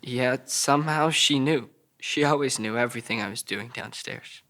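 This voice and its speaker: Man acting a troubled, halting, childlike young man. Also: medium pitch, pushed and bright. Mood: haltingly confessional. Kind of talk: audio drama table read.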